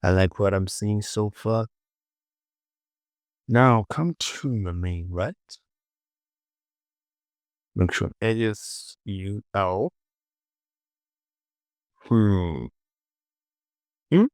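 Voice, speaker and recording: A man giving an informal software tutorial, muttering short clipped instructions to himself and often repeating words. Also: lowish voice, drawn-out words, long pauses, no room sound, clean studio sound. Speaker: 3D modeling tutorial presenter.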